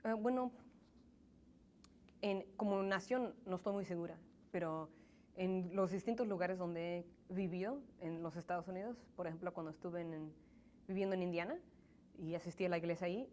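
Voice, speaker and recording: A woman's voice: lowish voice, darkish tone. Young woman answering interview questions, earnest and thoughtful, restarts a lot, slight hiss behind her. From Mexican family, raised in the United States. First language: English. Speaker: interview guest. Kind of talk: conversational interview.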